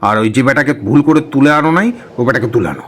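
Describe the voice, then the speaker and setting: A man voicing a flustered, henpecked god of death in a comic cartoon, exasperated and halting, with sighs and repetitions. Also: mid-range voice, expressive, lightly acted. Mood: flustered commanding.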